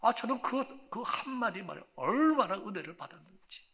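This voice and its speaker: A male pastor preaching a sermon, moving from hushed, almost whispered phrases to loud, emphatic declarations and stretching out key words. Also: sits high, big pitch swings, normal speed. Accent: Korean.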